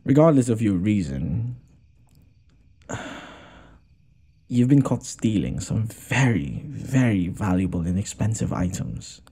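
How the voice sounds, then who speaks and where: Casting: male actor for a smitten, apologetic noble lord in a boyfriend roleplay; husky, lively intonation, halting speech with sighs. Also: on the low side, full character voice. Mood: reluctant, concerned. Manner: hesitant, stammering, sighing. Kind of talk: intimate boyfriend audio roleplay.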